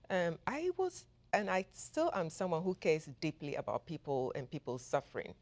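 A woman from Ghana, a professor, giving measured, thoughtful answers as an interview guest. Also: lowish voice, a little mellow. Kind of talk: interview conversation.

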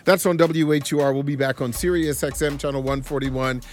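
A man with an American accent hosting a radio call-in show in a measured announcer's delivery. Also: fairly high pitch, fairly even intonation. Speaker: radio talk show host.

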